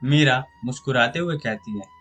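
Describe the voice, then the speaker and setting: A man's voice: medium pitch, moderate pace, fairly bright tone, faint music behind. A man reading a scripted moral story as a storyteller.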